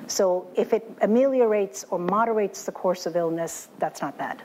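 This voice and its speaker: A woman giving a measured scientific talk to an audience, explaining facts in a clear, steady way. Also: mid-range voice, a little hiss or hum, poor audio. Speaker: infectious disease researcher.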